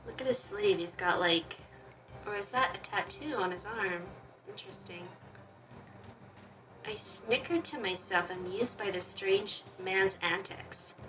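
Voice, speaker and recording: A woman's voice: medium pitch, unhurried, a bit bright, soft background music. A woman hosting a gaming video, reading visual novel narration aloud and adding casual, playful asides. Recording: slightly distant mic, faint noise.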